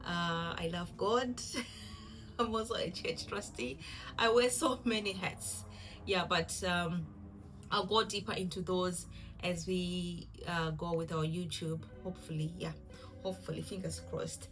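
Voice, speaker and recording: A woman's voice: fairly low pitch, fairly even intonation, a little slow, a bit bright, low-quality recording. A woman in her thirties with a Zimbabwean accent, chatting casually to camera as a cooking vlogger in a giggly, self-deprecating way. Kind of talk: casual introductory vlog talk to camera.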